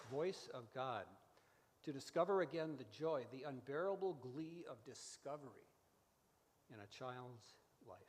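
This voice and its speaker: An older man speaks slowly and deliberately in a slightly high voice, like a storyteller hosting a church radio hour. His phrases are measured and spaced with pauses. The sound rings through a large hall. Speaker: radio variety show host. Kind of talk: reflective storytelling monologue on a church radio show. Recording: echoey hall, music behind.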